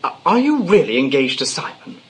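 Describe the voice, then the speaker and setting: A woman playing an affable, diffident young gentleman, mild and hesitant, in a stage comedy. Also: low voice, very animated, a little fast, a little hiss or hum. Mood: candid, sheepish. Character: affable, diffident young upper-class man. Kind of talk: theatrical stage comedy acting.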